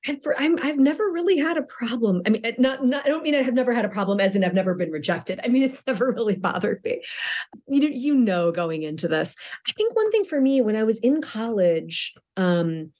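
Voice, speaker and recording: A woman's voice: fairly high pitch, very animated, fairly quick, a little mellow. A middle-aged woman author answering interview questions in a chatty way, often restarting her sentences and laughing lightly.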